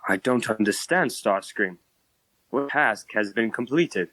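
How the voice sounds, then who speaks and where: A man playing an earnest nineteenth-century gentleman inventor with formal, old-fashioned diction in a comic dub. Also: lowish voice, lively intonation, unhurried, no echo, exaggerated voice. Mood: puzzled, worried.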